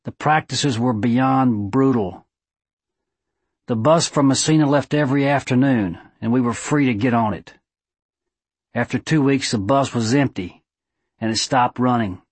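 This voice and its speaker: A man voicing an aging, earnest small-town judge with a squeaky voice and a thick Southern drawl, giving an audiobook eulogy. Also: measured pace, husky, dry recording. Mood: earnest, reminiscing. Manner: drawling, oratorical.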